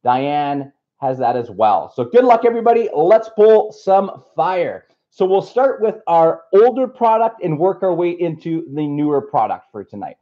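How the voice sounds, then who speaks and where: A man from Canada hosting a live card break from a table indoors, upbeat and slightly raspy from being unwell. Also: a little high, big pitch swings, moderate pace, few pauses, highs cut off. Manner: upbeat, reads off a list of names. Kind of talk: casual live stream chat.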